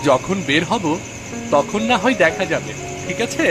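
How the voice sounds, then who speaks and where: A man's voice: a little high, sing-song, unhurried, over music. A man playing an earnest young village son in a cartoon story, speaking expressively. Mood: casual, reassuring. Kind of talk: animated cartoon story dialogue.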